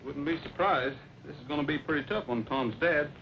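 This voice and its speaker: An actor playing an amiable, polite cowboy hero in an old western drama, with a folksy, courteous way of talking. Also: male voice, fairly high pitch, a little fast, close to an everyday voice. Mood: friendly, concerned. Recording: old muffled, noisy radio-era recording.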